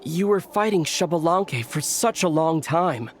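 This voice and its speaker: Calm young adventurer. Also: male voice, a little high, slight background noise. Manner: polite and measured. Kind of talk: video game voice acting.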